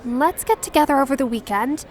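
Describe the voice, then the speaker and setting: A woman's voice: sits high, brisk, a faint crowd, a little hiss or hum, lightly acted. An actress playing a friendly, curious teenage schoolgirl in a comic dub, inquisitive and a little hesitant. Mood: thoughtful, hesitant. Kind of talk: acted comic dub dialogue.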